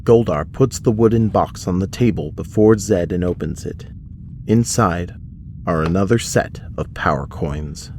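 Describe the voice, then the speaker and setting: A man's voice: low voice, measured pace, a little mellow, slight background noise. A man narrating a scripted audio drama, setting each scene in the present tense.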